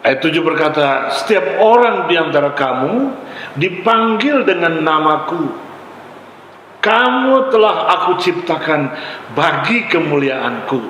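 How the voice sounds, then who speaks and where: A man's voice: a little high, expressive, a little slow, fairly bright tone. A male pastor from Ambon, Indonesia, preaching a sermon in short, emphatic, exhorting phrases with repetition. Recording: echoey hall with long reverb, faint noise.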